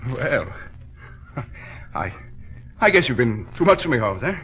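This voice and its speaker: An actor playing a wounded American swindler in an old radio drama, halting and wheedling in slangy talk. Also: male voice, mid-range voice, big pitch swings, bright, forceful tone, slightly rough, heavily acted. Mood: rueful, sly. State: hurt, head bleeding. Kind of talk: 1940s radio drama acting. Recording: vintage 1940s radio broadcast.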